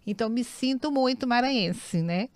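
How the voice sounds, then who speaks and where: A woman's voice: a little high, lively intonation, moderate pace, a little mellow, no echo. A Brazilian woman in her late fifties, a podcast guest talking about herself in a conversational, storytelling way. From São Paulo and Goiânia, Brazil. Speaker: podcast guest, nurse and acupuncturist.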